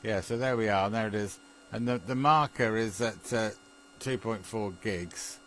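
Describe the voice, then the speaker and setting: British man, lowish pitch, amateur radio hobbyist in casual technical chat, halting and repetitive. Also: fairly bright tone, slight background noise. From Britain. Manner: hesitant, chuckling, repeats phrases.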